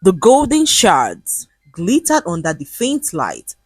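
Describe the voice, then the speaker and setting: A woman narrating a scripted folktale in an expressive, dramatic storytelling manner. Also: on the low side, slow pace, slightly rough, no echo, studio quality, faint music behind.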